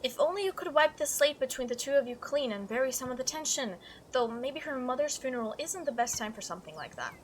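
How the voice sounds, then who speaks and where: High-pitched animated woman playing games. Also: quick pace, a little hiss or hum. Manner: chatty, playful, reactive. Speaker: video game streamer doing a playthrough. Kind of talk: let's play, reading aloud and reacting.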